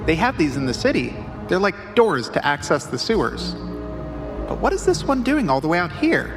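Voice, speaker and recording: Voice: mid-range voice, sing-song, normal speed, music in the background. An actor playing a curious, easily distracted, bespectacled youngster in an audio drama, chatty and eager to explain things. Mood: curious, puzzled.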